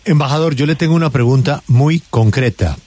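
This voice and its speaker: A man's voice: medium pitch, brisk, a little mellow. A man interviewing an ambassador, asking polite, direct questions. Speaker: interviewer, host.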